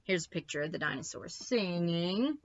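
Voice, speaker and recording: A woman reading a children's story aloud with expressive, emphatic delivery and short asides to the listeners. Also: on the low side, normal speed, dull audio. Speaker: librarian reading stories to children.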